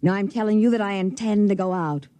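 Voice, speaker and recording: A woman's voice: medium pitch, fast, dark tone, natural voice. An American actress playing a weary, restless middle-aged woman in a 1950s radio drama. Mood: earnest pleading. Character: weary, restless woman longing for a clean start.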